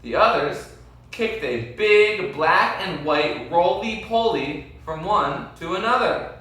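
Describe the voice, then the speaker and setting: A man reads a children's story aloud with slow, deliberate, dramatic phrasing. His bright voice rises and falls a great deal. The recording is very echoey, as if from across a room. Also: high voice, animated. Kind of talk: expressive children's story reading. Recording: very echoey room, distant mic.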